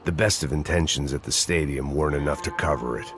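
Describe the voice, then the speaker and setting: An actor with an American accent playing a middle-aged, cynical, deadpan, self-loathing hard-boiled ex-cop in a noir video game. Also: male voice, very deep voice, quick pace. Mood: bitter, brooding. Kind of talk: noir game narration and dramatic dialogue. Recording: dramatic music behind.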